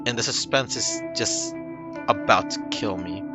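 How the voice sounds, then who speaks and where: Low-voiced man reading over loud music. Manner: calm, unhurried reading with small slips and restarts. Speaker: streamer reading a game aloud. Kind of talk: first-person game narration read aloud.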